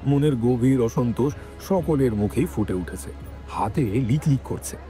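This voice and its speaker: A man's voice: medium pitch, expressive, measured pace, mellow, faint music behind. A man narrating a scripted dramatic story as the narrator of an audio production.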